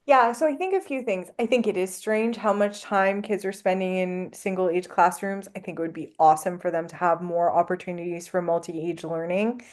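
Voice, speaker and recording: A woman's voice: on the low side, fairly even intonation, a little fast, hardly any pauses. A woman whose first language is French, a guest on a podcast, talking casually with many fillers. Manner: chatty, lots of likes and ums. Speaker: anthropologist guest.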